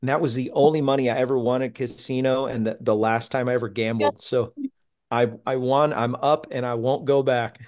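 A man's voice: medium pitch, steady tone, a little fast, darkish tone. A man hosting a podcast over a video call, chatting casually and laughing often. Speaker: podcast host.

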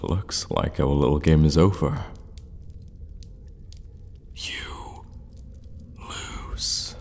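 A man playing an evil, sadistic warlock in an ASMR fantasy roleplay, teasing and menacing, often slipping into a breathy whisper. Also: a bass voice, exaggerated voice. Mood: mocking, sadistic. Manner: slow, teasing, drawn-out hums and dark chuckles.

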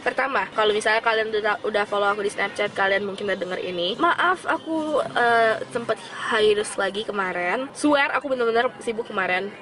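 A young woman chatting casually as a vlogger, recorded on a handheld camera inside a car. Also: fairly high pitch. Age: young adult. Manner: chatty, informal, slips between Indonesian and English. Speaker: beauty vlogger. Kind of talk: casual vlog chat. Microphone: handheld camera at arm's length.

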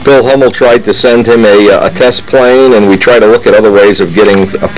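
A man's voice: on the low side, fairly even intonation, brisk, rough recording. A man hosting a model airplane channel who talks casually and rambles through his workshop while showing parts, often stopping to restart his sentences. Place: home workshop.

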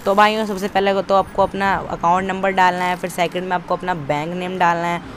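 A man's voice: high-pitched, flat delivery, fairly quick, a bit bright. A young man giving an informal, step-by-step tutorial voice-over with repetitive, instructional phrasing. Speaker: tutorial presenter. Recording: noisy, outdoor traffic-like background.

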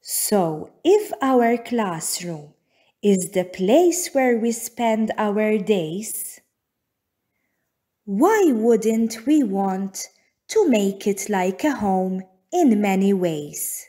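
Female children's read-aloud narrator. Extremely unhurried, pausing between phrases, with lively rises and falls. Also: mid-range voice. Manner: deliberate, measured phrasing with frequent pauses. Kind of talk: scripted storybook narration for young children.

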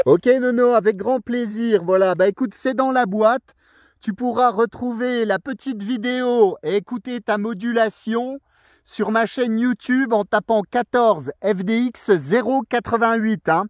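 A man with a notably high voice works as a radio operator out in the open. The sound is dry, and he speaks in courteous, jargon-filled exchanges. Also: unhurried, no room sound. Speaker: amateur CB radio operator, video maker. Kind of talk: radio contact exchange, casual. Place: outdoors in a forest clearing.

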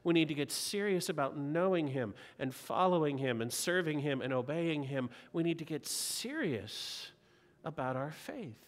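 Earnest pastor preaching in a reverberant church. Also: male voice, a little high, lively intonation, normal speed. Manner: earnest, exhorting, uses repeated rhetorical questions. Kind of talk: sermon. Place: large church sanctuary. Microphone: pulpit mic. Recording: long reverb of a church hall.

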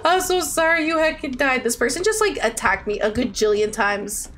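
A young woman streams a game in a very high voice that swings widely in pitch. She talks playfully to her chat in a dramatic, gushing way, with some background noise behind her. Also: moderate pace. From Ontario, Canada. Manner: animated and playful, with dramatic outbursts and gushing endearments. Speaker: live streamer. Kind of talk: chatty game livestream commentary, reading out viewer chat. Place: indoor room at a streaming setup.

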